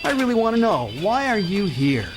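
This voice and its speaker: A man's voice: high voice, big pitch swings, normal speed, over music. An actor playing a weary, cynical, slightly drunk showman in an audio drama, casual, blunt and challenging. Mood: tipsy, probing.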